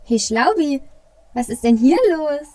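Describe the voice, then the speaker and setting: A woman voicing a small, anxious, excitable male Christmas elf who frets and exclaims in a family audio play. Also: high-pitched, unhurried, dry recording. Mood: alarmed. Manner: fretful, exclaiming.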